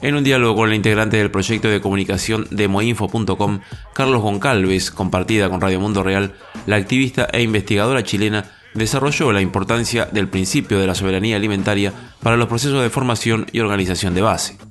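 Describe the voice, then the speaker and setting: Low-voiced man reading news, soft music. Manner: measured, formal delivery. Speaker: news announcer. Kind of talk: scripted news narration. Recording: narration with soft music behind.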